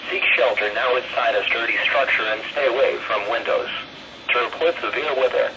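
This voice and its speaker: Synthetic American man over muffled weather radio. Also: medium pitch. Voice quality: synthetic text-to-speech voice. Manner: measured, impersonal reading. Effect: weather radio speaker. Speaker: automated weather radio announcer. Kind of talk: formal scripted emergency weather bulletin. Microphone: camera pointed at a small radio speaker. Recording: muffled, noisy radio speaker recorded in a room.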